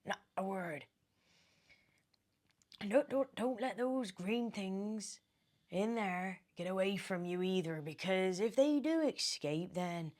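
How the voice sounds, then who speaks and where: A British woman voicing a mysterious, excitable old man in a storybook, speaking in a theatrical, commanding, drawn-out way. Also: medium pitch, pitched above the performer's own voice. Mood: insistent, conspiratorial. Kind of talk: animated storybook character reading.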